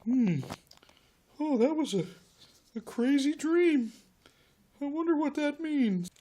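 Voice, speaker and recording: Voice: sits high, expressive, slow, mellow, pitched above the performer's own voice. A performer playing a hapless, naive young man in a comic Bible skit, with hesitant, exaggerated delivery. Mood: sleepy, puzzled.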